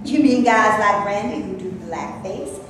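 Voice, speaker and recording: A woman's voice: mid-range voice, unhurried, a bit bright, natural voice. A woman playing a skeptical, wry friend. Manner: doubtful, wry. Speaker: character in a staged reading. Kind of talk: stage play reading. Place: theater before a live audience. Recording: distant voice in echoey room, faint noise.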